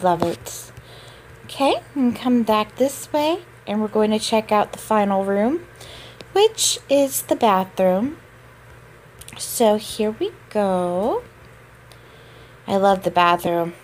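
A woman's voice: medium pitch, lively intonation, slow, pauses often, a little hiss or hum, rough recording. A woman who just woke up, giving a casual voice-over tour of her game apartment, chatty and drawn out with small laughs.

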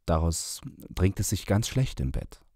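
A man voicing a clumsy, apologetic father who pleads with his son in a warm tone. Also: sits low, big pitch swings, unhurried, no room sound, studio quality, heavily acted.

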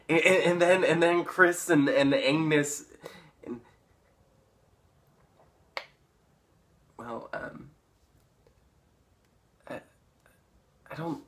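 A man's voice: medium pitch, slight background noise, close to an everyday voice. Man acting awkward, stammering, resentful teenage boy. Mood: hurt, wavering. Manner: stammering, halting, nervous laughter. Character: awkward, bullied teenage boy, nervous, resentful, darkly unhinged. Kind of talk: dramatic stage monologue.

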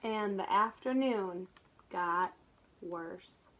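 A woman teacher reading a picture book aloud to small children, expressive, with asides and simple explanations. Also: medium pitch, extremely slow. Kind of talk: animated read-aloud storytelling for small children.

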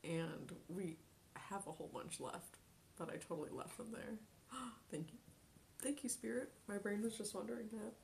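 A young woman in her twenties chatting casually on a live stream from her bedroom, reading chat aloud, giggling often and dropping into soft near-whispers. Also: medium pitch, lively intonation, normal speed, vocal fry, slight background noise. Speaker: Twitch streamer. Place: small bedroom at home.

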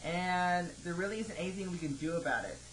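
A man in his twenties talking haltingly and questioningly, like a customer service caller on a phone call. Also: sits high, lively intonation, fairly bright tone. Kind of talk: hesitant phone conversation. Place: home office, indoors. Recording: noisy home computer microphone recording.

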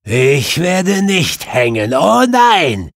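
A man voicing a defiant gangster with a booming, thundering voice in an audiobook. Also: huge pitch swings, drawn-out words, gravelly, dry recording, studio quality, exaggerated voice.

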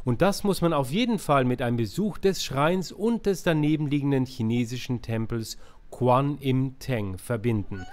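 A man in his forties presenting a travel vlog, talking and narrating as he shows places. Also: medium pitch. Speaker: travel vlogger.

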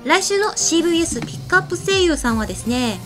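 A woman's voice: extremely high pitch, animated, fast talker. A young woman co-hosting an anime web show, speaking politely and moving between casual chat and announcements. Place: small indoor studio at a desk. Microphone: desk microphone. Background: faint music.

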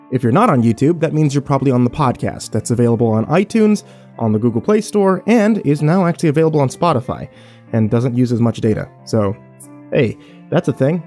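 Casting: male horror channel host, mellow and conversational, jokey rambling sign-off, faint music underneath. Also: fairly high pitch. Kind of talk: casual channel outro.

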